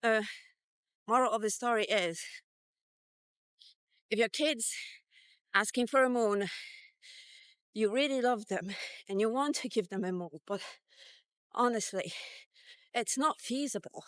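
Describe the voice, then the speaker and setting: Slow-talking, high-voiced woman in her fifties. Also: clear, resonant tone, no room sound. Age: 50s. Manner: blunt, sweary, conversational. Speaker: vlogger. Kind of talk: casual personal storytelling.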